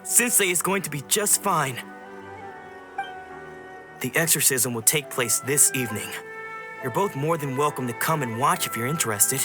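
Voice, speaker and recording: A man's voice: mid-range voice, lively intonation, normal speed, pauses often, over music, lightly acted. Man as an earnest young sword disciple. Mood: reassuring. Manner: polite, explanatory, earnest. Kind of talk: video game voice acting.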